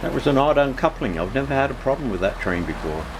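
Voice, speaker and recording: A man giving a casual, unscripted hobby vlog narration in a halting way, often repeating words. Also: mid-range voice, sing-song, background noise.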